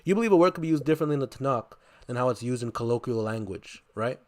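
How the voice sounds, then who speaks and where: A man's voice: medium pitch, expressive, quick pace, darkish tone. Insistent man on a debate stream. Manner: insistent, repeats his question, cuts in. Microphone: headphones plugged in, computer mic.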